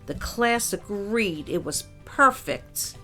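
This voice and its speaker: A woman reading a children's picture book aloud as a narrator, in a measured storytelling style with clear emphasis. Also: mid-range voice, animated, sharp tone, soft background music.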